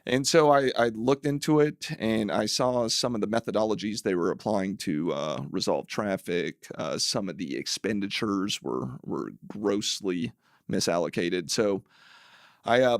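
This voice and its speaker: A man's voice: on the low side, dry recording, studio quality. A man, a city council member, speaking as a podcast guest in a wry, analytical way with many fillers. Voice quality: gravelly. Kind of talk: casual interview chat.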